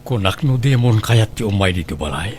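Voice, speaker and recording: A man's voice: lowish voice, expressive, fairly quick, darkish tone, a little hiss or hum, close to an everyday voice. A man acting an evasive, smitten middle-aged father in a radio drama, with a coaxing manner. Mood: wooing, eager.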